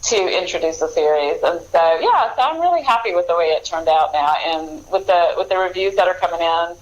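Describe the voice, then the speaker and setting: Lower-pitched American woman, chatty. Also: expressive, a little fast, highs cut off. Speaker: author being interviewed. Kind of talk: casual interview chat.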